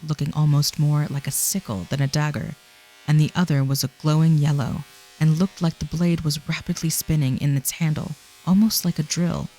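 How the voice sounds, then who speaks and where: A narrator reading a scripted audio drama in a measured, descriptive storytelling manner. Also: mid-range voice, warm tone, rough recording.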